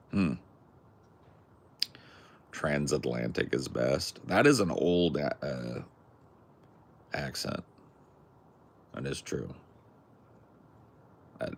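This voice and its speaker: Very deep gravelly Californian guy. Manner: rambling, jokey, crude. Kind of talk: casual chat.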